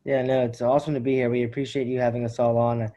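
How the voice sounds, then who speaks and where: A nineteen-year-old man speaks over a video call in a mellow, dark tone. His delivery is flat and even, concise and businesslike. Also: medium pitch, racing. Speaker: guest.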